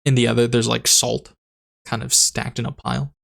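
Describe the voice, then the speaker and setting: A man running a tabletop game as dungeon master, narrating in a halting, rambling way with a voice that is going hoarse. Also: medium pitch, flat delivery, fairly bright tone. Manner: rambling, halting, laughs often. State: losing his voice.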